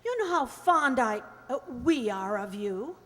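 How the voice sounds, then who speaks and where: A woman's voice: a little high, sing-song, measured pace, bright, forceful tone, heavily acted. An actress playing a spirited, emotional young noblewoman about to marry, in a radio play. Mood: flustered, pleading. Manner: earnest, emotional, theatrical. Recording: echoey hall, long reverb.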